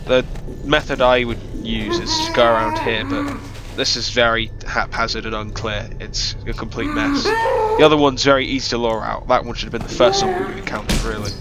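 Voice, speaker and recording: A man's voice: mid-range voice, huge pitch swings, brisk. A man with a cold, sounding nasal and sniffly, giving a rambling, self-deprecating developer commentary on his own game map with lots of fillers. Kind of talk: casual gameplay commentary. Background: loud game music.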